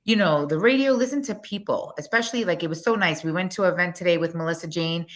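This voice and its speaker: A woman in her forties from Guyana with a Guyanese accent, a business owner being interviewed, talking bluntly and emphatically. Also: fairly low pitch. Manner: blunt, fast-flowing, emphatic. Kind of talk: interview, promotional pitch.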